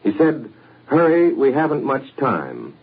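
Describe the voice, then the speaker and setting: An American man anchoring a 1940s radio news broadcast in a measured, formal way, on an old radio recording. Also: medium pitch, wildly swooping pitch, slightly rough, narrow, tinny audio.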